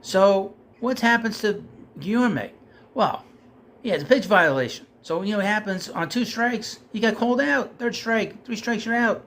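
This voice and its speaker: A man's voice: high voice, moderate pace, slight background noise. A man ranting casually about his team, getting heated and losing his voice. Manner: heated, rising and louder when frustrated. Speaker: sports commentator. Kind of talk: casual rant.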